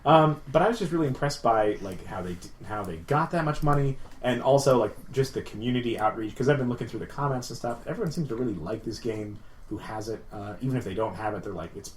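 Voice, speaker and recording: A man's voice: medium pitch, quick pace, hardly any pauses, a little hiss or hum. A man co-hosting a casual podcast, explaining games in a rambling, enthusiastic way full of fillers. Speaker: podcast co-host.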